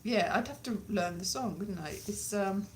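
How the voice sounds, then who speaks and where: A woman, perhaps in her late thirties, chatting casually to camera in an animated, rambling, dryly jokey way. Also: mid-range voice, normal speed. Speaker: vlogger, language learner. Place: small plain room indoors.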